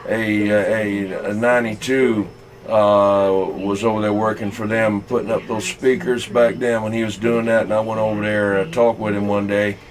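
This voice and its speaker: Low-voiced Southern man rambling on CB radio. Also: level tone, rough recording. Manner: folksy, halting, rambling. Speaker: CB radio operator. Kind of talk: casual rambling storytelling over CB radio.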